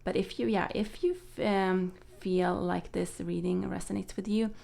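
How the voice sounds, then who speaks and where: A young woman whose first language is Swedish gives a tarot card reading in a soft, hesitant way with many fillers. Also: medium pitch, steady tone, moderate pace, creaky. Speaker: tarot reader.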